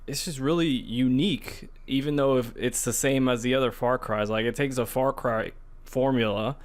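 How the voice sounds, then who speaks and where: A man's voice: mid-range voice, steady tone, normal speed. Casual, rambling male podcast co-host. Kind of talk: casual chat about video games.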